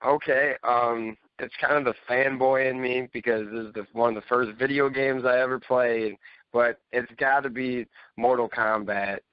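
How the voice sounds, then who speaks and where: Male fan guest, clean smooth voice, informal, on dry dull audio. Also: mid-range voice, steady tone, normal speed, no echo. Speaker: returning panel guest. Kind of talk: casual fan chat.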